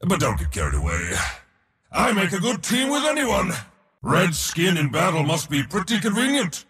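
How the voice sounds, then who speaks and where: A man playing an arrogant, boisterous war god in a video game, speaking in a bombastic, boastful way with a gravelly, raspy voice. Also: high-pitched, huge pitch swings, moderate pace, fairly bright tone, long reverb tail, exaggerated voice. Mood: smug, conversational. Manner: bombastic, boastful, theatrical delivery. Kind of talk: video game hero voice lines.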